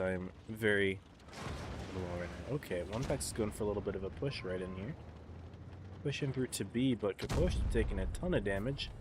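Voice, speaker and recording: A man casting an esports match on a live stream, congested and tired, calling the play in a relaxed, subdued way. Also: fairly low pitch, background noise. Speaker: esports caster.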